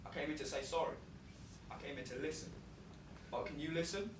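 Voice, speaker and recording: An actor playing a remorseful young joyrider. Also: male voice, fairly high pitch, lightly acted. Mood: pleading. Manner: pleading, then rushing through an excited story. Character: remorseful young male joyrider, driver of a fatal crash. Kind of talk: stage drama monologue. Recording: echoey stage from a distant mic, noisy.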